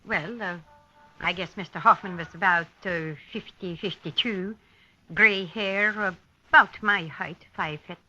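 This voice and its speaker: An actress playing a bitter, hesitant abandoned wife in a 1950s radio drama, speaking in short, halting phrases. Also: female voice, lowish voice, bright, forceful tone, slight background noise. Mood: hesitant, recalling. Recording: dull lo-fi vintage radio broadcast.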